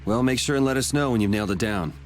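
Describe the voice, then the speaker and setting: A man's voice: mid-range voice, fast talker, a little hiss or hum, lightly acted. An actor playing a cool, laid-back, cocky young swordsman in a video game. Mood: casual.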